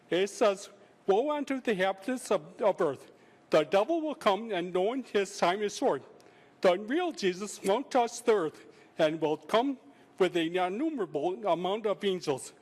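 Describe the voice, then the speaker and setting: A man with a speech impediment giving a prepared public statement, halting and sometimes stumbling over his words. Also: sits high, darkish tone. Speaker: public commenter.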